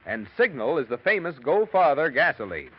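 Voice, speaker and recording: A male announcer delivers an upbeat sales pitch with an animated, slightly high voice. Faint music sits under him. The recording is dull and dated. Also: moderate pace, slight background noise. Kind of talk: radio commercial announcing. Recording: dull old radio recording.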